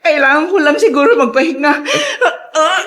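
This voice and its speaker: High-voiced ailing mother acted by a woman. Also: fairly quick, over music, lightly acted. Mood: in pain. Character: ailing, defensive adoptive mother hiding pain. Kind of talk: Filipino radio drama acting.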